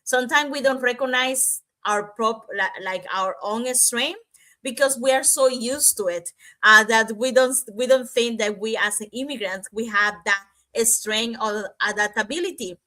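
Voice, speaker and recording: A woman's voice: on the high side, unhurried, sharp tone. A woman from Cuba talking as a panelist in a casual chat, rambling with many fillers and restarts.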